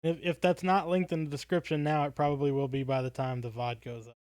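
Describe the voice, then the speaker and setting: A man's voice: fairly high pitch, little pitch movement, fast talker, a little mellow, dry recording, studio quality. A man around his thirties co-hosting a casual livestream chat, rambling along in a jokey, tongue-in-cheek way. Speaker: podcast co-host.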